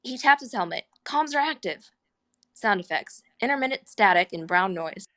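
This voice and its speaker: A woman's voice: medium pitch, lively intonation, moderate pace, fairly bright tone. A woman reading scripted stage directions and scene headings as narrator at a screenplay table read.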